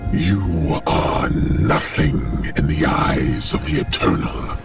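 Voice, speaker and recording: A male actor voicing a menacing, seductive dragon lord in a fantasy game, theatrical and taunting, with a gravelly voice. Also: rumbling low, takes it slowly, exaggerated voice. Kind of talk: dramatic fantasy game villain acting. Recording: loud music under the voice.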